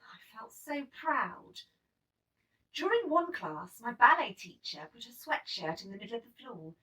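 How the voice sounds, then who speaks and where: A woman reading a children's story aloud in an expressive, emphatic storytelling manner. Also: a little high, measured pace, recorded from a distance, low-quality recording. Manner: animated, emphatic storytelling with acted-out dialogue. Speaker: storybook reader.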